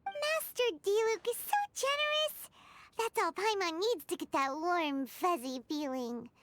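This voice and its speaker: A woman voicing a tiny, cheerful fairy girl companion in a video game, with a childlike squeaky voice and exclamatory delivery. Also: lively intonation, a little slow, a bit bright, dry recording, heavily acted. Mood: grateful, warm. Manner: exclamatory, refers to herself by name. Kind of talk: video game character voice acting.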